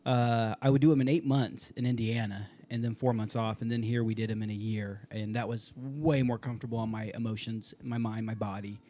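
Easygoing podcast host, man in his 40s, warm low-key tone, curses casually. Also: fairly even intonation, a little fast, few pauses, slight background noise. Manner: loose, swears freely.

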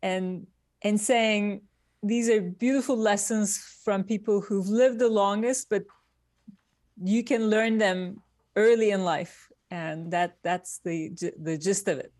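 A woman from Turkey, a guest designer, speaking in a thoughtful, unhurried way in a casual interview over a remote call. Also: medium pitch, fairly even intonation, darkish tone, dry recording, studio quality.

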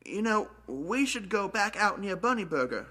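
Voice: medium pitch, very animated, moderate pace, bright, forceful tone. A performer playing a hesitant, folksy male zombie survivor in an amateur audio drama, stammering and drawling, with faint noise behind. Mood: uncertain.

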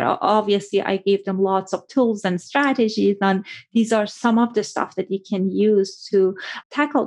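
A woman's voice: mid-range voice, a little mellow. A woman from Iran with an Iranian accent, a sex therapist speaking as an interview guest in an earnest, explanatory way. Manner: earnest, explanatory, with frequent fillers like "kind of". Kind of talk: conversational interview.

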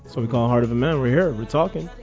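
A man's voice: mid-range voice, very fast, a little mellow, muffled recording, soft background music. A Jamaican man guesting on a casual podcast, talking earnestly and from the heart.

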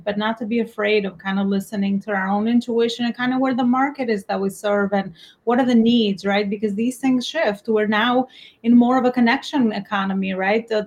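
A woman with an Albanian accent hosting an interview show over a video call, upbeat and enthusiastic. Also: medium pitch. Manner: enthusiastic, repeats "amazing". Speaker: podcast host. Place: home office in New York.

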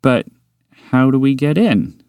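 A man doing a big, bashful, slow moose. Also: medium pitch, lively intonation, dark tone, smooth, no room sound, clean studio sound, voice pushed up. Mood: unsure. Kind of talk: storybook character voice.

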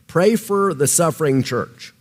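A man from Nebraska with a Midwestern American accent, teaching the Bible informally and thinking aloud in a loose, halting way. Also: on the high side, animated. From Nebraska, United States.

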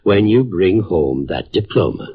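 An actor playing a pompous, absent-minded older college dean who lectures and talks over others, in a 1950s radio drama. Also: male voice, low voice, expressive, unhurried, husky, exaggerated voice. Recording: dull lo-fi 1950s radio broadcast.

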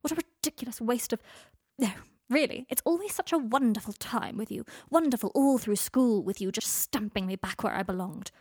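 A woman voicing a proud, cold young man who is furious and scornful, yelling with his voice near cracking. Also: fairly high pitch, animated, brisk, dry recording, clean studio sound, voice pushed up.

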